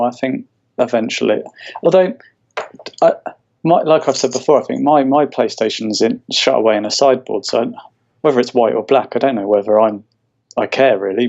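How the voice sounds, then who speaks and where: A man co-hosting a podcast in an easygoing, wry way, with short relaxed replies. His sound is dull and slightly muffled, like a cheap mic. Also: medium pitch, creaky. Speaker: podcast co-host. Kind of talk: casual chat.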